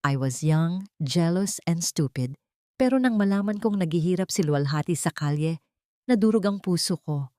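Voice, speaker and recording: A woman's voice: on the low side, lively intonation, normal speed, mellow, no room sound, studio quality, lightly acted. Woman voicing a sugary, scheming young socialite rival. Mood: feigned sweet concern. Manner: sweet, pleading, mixing English and Tagalog.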